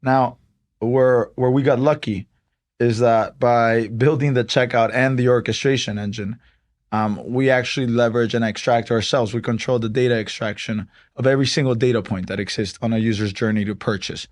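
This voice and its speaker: A young man from Honduras, a startup co-founder, explaining his company in a casual podcast interview with many pauses and fillers. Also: mid-range voice. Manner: relaxed, explains step by step with many "um" and "like".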